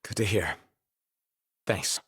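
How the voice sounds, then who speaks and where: A man's voice: slow pace, fairly bright tone. A man playing a laid-back, reticent young prince in a video game cutscene. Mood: low-key, awkward.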